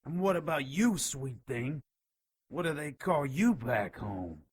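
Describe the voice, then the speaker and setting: An actor playing a lecherous, melodramatic enchanted shopkeeper in an audio drama, whining and leering. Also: male voice, on the high side, animated, a little slow, slightly rough. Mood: flirtatious. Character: sleazy, excitable male enchanted shopkeeper, panicky and lecherous.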